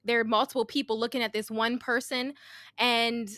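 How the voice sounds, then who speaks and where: A woman's voice: fairly high pitch, flat delivery, moderate pace, a bit bright, no echo, studio quality. A woman in casual conversation, explaining and clarifying details in an informative way. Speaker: podcast speaker adding explanations.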